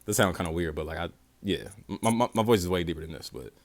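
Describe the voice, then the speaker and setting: A man's voice: low voice, animated, fast talker, dry recording. A man hosting a reaction video, chatting casually with slang and stammered restarts. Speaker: reaction channel host.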